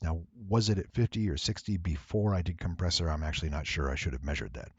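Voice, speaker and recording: A man giving a casual, rambling software walkthrough, thinking aloud and playfully addressing his viewers. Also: low voice, gravelly, husky, no room sound, muffled recording. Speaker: tutorial presenter and voice actor.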